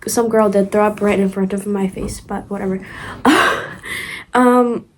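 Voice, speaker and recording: A woman's voice: mid-range voice, steady tone, a little slow. A young woman with a Ukrainian accent, telling a rambling storytime vlog full of fillers and restarts. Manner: chatty, rambling, many fillers and restarts, giggly asides. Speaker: vlogger.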